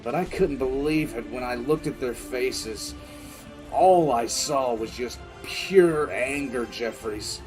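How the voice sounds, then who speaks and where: A man's voice: mid-range voice, slow pace, darkish tone, soft background music, lightly acted, low-quality recording. A man playing a grim, battle-weary military commissar, narrating dramatically in the first person for an audio drama. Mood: intense, incredulous.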